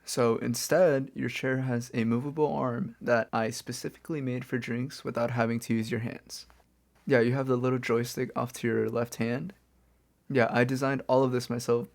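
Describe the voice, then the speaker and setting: A man playing a smug but chatty reformed supervillain in a soft-spoken, casual ASMR roleplay. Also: medium pitch, gravelly, close to an everyday voice. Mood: smug, confiding. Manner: casual, wry, conversational. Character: smug male supervillain turning reformed, playfully chatty kidnapper. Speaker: audio roleplay performer.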